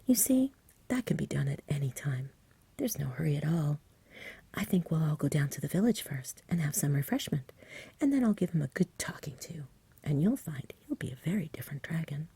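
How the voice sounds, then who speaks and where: A woman's voice: low-pitched, very animated, fairly quick, warm tone, dry recording, full character voice. Woman reading as a whispering, pleasant storybook knight. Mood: pleasant, reassuring. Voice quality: whispery, breathy. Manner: pleasant, reassuring.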